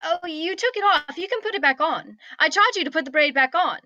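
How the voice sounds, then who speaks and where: A woman's voice: very high voice, expressive, fairly quick, bright, forceful tone, dry recording, highs cut off, full character voice. A woman playing a haughty, bossy, petulant young princess in a comedic script read, with an imperious, commanding manner. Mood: indignant, demanding.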